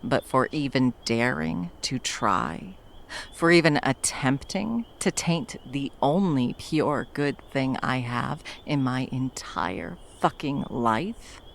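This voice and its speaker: A battle-hardened female monster hunter, voiced by a woman for an intimate ASMR roleplay, fiercely protective of a lover. The voice is slow and gravelly with wide, expressive swings, mixing dry menace with tenderness. Faint background noise sits underneath. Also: very deep voice, heavily acted. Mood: cold fury.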